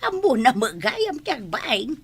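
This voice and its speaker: A woman with an Ilocano accent playing a bossy, sharp-tongued village wife in a comedy radio drama. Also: sits high, very animated, a little slow, very noisy, full character voice. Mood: gossipy, grumbling.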